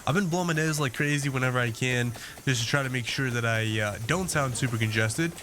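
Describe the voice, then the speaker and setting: A man's voice: medium pitch, fairly quick, fairly bright tone. A man casually commenting on his gameplay as a video host, rambling with many fillers and restarts, his nose a bit congested.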